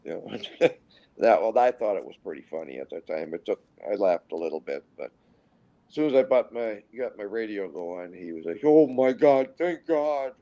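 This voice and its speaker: A man in his sixties from Minnesota talks in a low, mellow voice. He rambles through his stories, stopping and restarting often. The audio is dull and lo-fi. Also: lively intonation. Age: 60s. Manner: rambling, halting, with frequent restarts. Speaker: guest pilot. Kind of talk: casual storytelling interview.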